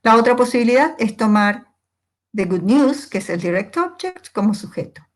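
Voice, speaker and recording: A woman's voice: on the high side, animated, moderate pace. A woman teacher giving a step-by-step grammar lesson in a didactic way, posing questions and answering them herself. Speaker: English teacher.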